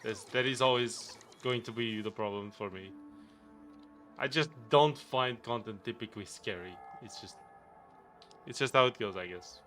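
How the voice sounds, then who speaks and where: A man's voice: medium pitch, normal speed, a bit bright, faint music behind. A man with a Portuguese accent, casually chatting with viewers on a game live stream, rambling and chuckling often.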